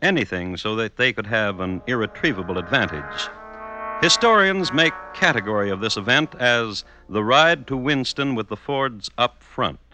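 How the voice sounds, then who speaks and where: A man's voice: medium pitch, expressive, normal speed, over music, poor audio. A man narrating a scripted radio western as a wry storyteller.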